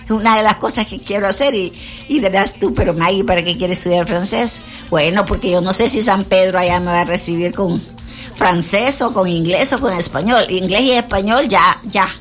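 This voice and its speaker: A woman's voice: mid-range voice, a bit bright. A woman, a motivational coach chatting on the radio and addressing listeners directly. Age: 60s. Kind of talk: casual radio chat.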